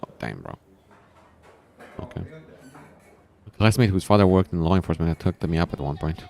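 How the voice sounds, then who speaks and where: A man's voice: sits low, animated, a little fast, vocal fry, a little mellow. A man reading a visual novel aloud, breaking in with casual, informal comments of his own. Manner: informal, reacting to the story with asides. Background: background music.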